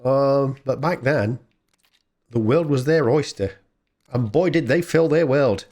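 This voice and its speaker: A man's voice: medium pitch, a little slow, darkish tone. A man hosting a retro gaming video, talking casually and informally off the cuff in a rambling, chatty way. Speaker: host of a retro gaming channel. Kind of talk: casual off-the-cuff gameplay commentary.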